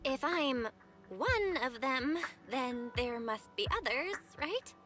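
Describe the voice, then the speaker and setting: An actress playing an earnest, excitable young female helper in an anime video game. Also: high voice, takes it slowly, faint music behind, heavily acted. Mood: uneasy.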